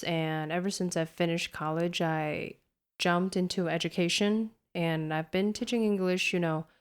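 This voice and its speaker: A woman from Taiwan whose first language is Mandarin Chinese, speaking English with a Taiwanese accent with an American tinge as an earnest, warm podcast co-host and English teacher. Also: fairly low pitch, clear, resonant tone. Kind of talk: casual podcast chat.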